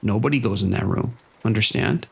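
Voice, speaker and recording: A man playing a firm, steady police sergeant. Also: fairly low pitch, creaky, husky, heavily acted. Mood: steady, commanding. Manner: firm, measured instructions. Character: calm, steady male police sergeant on the phone. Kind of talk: audio drama acting.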